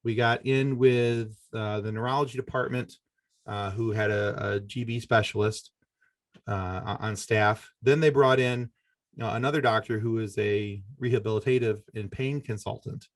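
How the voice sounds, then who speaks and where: Low-voiced middle-aged man, dry close sound. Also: steady tone, no room sound. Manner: halting, conversational, self-correcting delivery. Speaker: podcast guest. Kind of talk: personal storytelling in a podcast interview.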